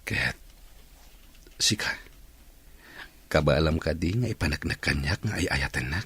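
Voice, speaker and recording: A man's voice: extremely low pitch, animated, a little slow, fairly bright tone, slightly rough, heavily acted. A man playing a persuasive, evasive young boyfriend in a radio drama. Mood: sweet, coaxing. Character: smooth-talking, evasive young boyfriend making promises.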